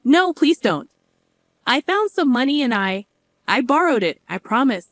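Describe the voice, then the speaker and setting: A woman's voice: sits high, very animated, a little slow, dry recording. A woman voicing a selfish, evasive younger brother in a narrated story, in a defensive, pleading way.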